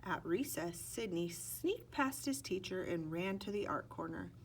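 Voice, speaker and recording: A woman's voice: fairly low pitch, expressive, unhurried, a little hiss or hum. A woman narrating a children's picture book expressively, stretching words and acting out sound effects. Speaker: storybook reader.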